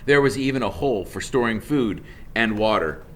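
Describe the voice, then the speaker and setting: Male storybook narrator, teacher reading aloud, unhurried and forceful, faint noise behind him. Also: on the low side, expressive.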